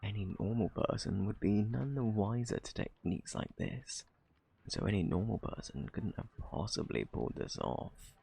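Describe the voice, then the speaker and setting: A man with a British accent playing a smug, flirty, cunning thief in an ASMR roleplay, with a husky, gravelly voice and a teasing, mock-innocent drawl. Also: lowish voice, very animated, moderate pace, mellow, exaggerated voice. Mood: taunting, insinuating.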